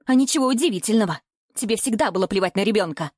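A woman's voice: medium pitch, lively intonation, very fast, darkish tone, slightly rough, dry recording. An embittered middle-aged mother from an audiobook drama, acted out by a woman narrator. She is controlling and scornful, flinging accusations in indignant exclamations. The sound is close and dry. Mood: indignant, accusing.

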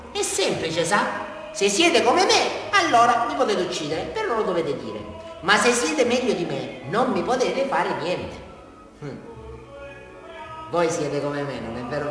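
A man acting an unhinged small-town murderer with a southern Italian accent, confessing to a police brigadier in a rambling, insistent, taunting way in a theatrical radio drama. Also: high-pitched. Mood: insistent, goading. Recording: echoey hall from far off, faint music.

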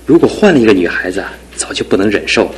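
A man's voice: on the low side, big pitch swings, rapid-fire, husky, exaggerated voice, poor audio. An actor playing a young husband recovering from an injury, talking sincerely and gratefully to his mother in a radio drama. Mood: earnest. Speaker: son and husband.

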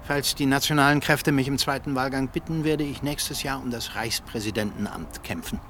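A man playing an old statesman in a radio play, stiff and commanding. His mid-pitched voice is clipped and threatening, over faint outdoor ambience. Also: moderate pace, fairly bright tone, a little hiss or hum, lightly acted. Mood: imperious, threatening. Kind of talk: radio drama acting.

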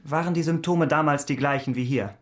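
A man's voice: a little high, brisk, close to an everyday voice. A man playing an inquisitive official in a radio crime drama, questioning in a formal way. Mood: probing. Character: brisk, probing male official questioning a doctor.

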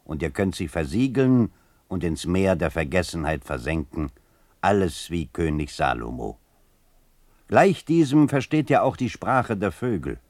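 An actor voicing a sardonic male poet who addresses the powerful in an ironic, measured literary recitation. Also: low-pitched, sing-song, a little mellow, no echo, exaggerated voice. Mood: biting irony.

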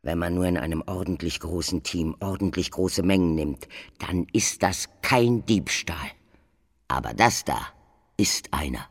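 A man's voice: low voice, measured pace, breathy edge, full character voice. An actor playing a grim, brooding, bitter man in a radio drama, theatrical and emphatic. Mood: accusing. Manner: theatrical, emphatic, swinging from murmur to outburst.

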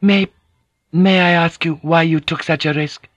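A man's voice: a little high, fairly even intonation, a little slow, no echo, dull audio, voice pushed up. A man voicing a poised, formal Japanese noblewoman in an audiobook, speaking with polite restraint. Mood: guarded.

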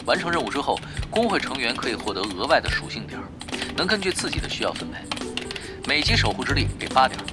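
A man's voice: mid-range voice, big pitch swings, fast, loud music behind the voice, natural voice. A man playing a patient, organised online game guild leader, explaining things in an orderly way in an audio drama. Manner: instructive, orderly.

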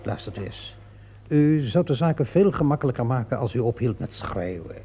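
A man's voice: medium pitch, animated, normal speed, dark tone, slightly rough. A man acting a shrewd, theatrical police inspector in a radio play, smugly sure of himself and politely insistent. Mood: calm, pressing. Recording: muffled old radio play recording.

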